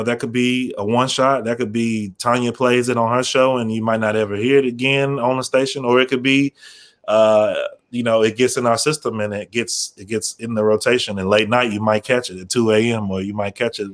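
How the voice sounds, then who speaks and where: American guy on a panel, lowish voice, talks it through informally, lots of restarts. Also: level tone, a little fast. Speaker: radio station program manager on a panel.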